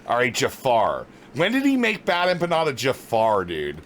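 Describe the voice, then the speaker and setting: A man's voice: mid-range voice, wildly swooping pitch, normal speed, slight background noise. A man streaming a casual reaction to a video, sarcastic and swearing as he quips at it.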